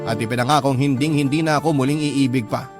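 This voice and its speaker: A man's voice: medium pitch, steady tone, quick pace. Male story narrator over music. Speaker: radio drama narrator reading a listener's letter. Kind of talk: scripted first-person story narration. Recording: clear music bed under narration. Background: background music.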